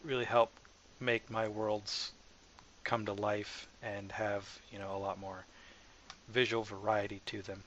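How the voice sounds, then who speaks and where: Low, even-voiced man on a dull mic. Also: unhurried. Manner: informal, hesitant, thinking aloud. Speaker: software developer presenting own asset package. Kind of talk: casual screen-capture tutorial narration. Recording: dull lo-fi mic with faint background noise.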